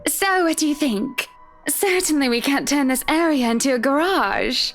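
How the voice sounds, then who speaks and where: An actress playing a composed, polite wealthy wife in a visual novel, speaking in a measured way over soft music. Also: female voice, high voice, lively intonation. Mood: curious.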